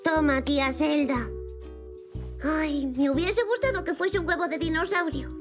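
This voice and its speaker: A woman's voice: sits high, measured pace. A woman voicing a curious, imaginative five-year-old zebra boy in a children's cartoon, eager and wide-eyed. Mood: puzzled, wistful. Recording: music behind.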